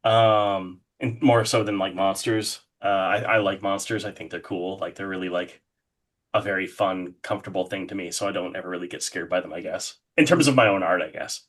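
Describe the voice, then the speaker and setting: A man's voice: sits low, fairly even intonation, brisk, fairly bright tone. A man speaking as an interview guest in a casual, rambling, informal way with lots of hedging. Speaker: interview guest, horror artist.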